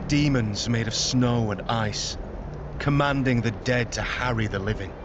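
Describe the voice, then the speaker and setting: An actor playing a solemn, dutiful young northern commander in a video game cutscene, speaking in a grave, measured way. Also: male voice, medium pitch, heavy background noise, natural voice. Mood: grave, explaining. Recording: dull muffled audio under loud music.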